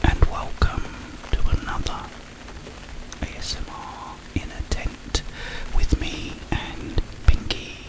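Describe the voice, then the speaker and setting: A man hosting ASMR, rambling through a story in a hushed, halting delivery with long pauses. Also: low-quality recording. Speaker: ASMR host. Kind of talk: whispered ASMR ramble, retelling a film.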